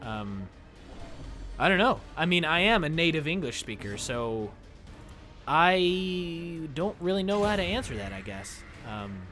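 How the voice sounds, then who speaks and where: A young man chatters through a headset as he plays, his pitch jumping up and down a lot. Faint game music sits underneath his voice. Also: measured pace. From United States. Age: young adult. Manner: chatty, animated, talks to chat while playing. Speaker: streamer. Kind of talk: casual gameplay commentary with chat. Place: small room indoors. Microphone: headset mic.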